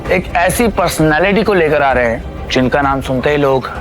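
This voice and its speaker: A man's voice: fairly high pitch, lively intonation, moderate pace, faint music behind, lightly acted. A man playing a mocking, menacing rich rival in a Hindi audio drama, sneering and theatrical. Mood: boastful. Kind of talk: Hindi audio series acting.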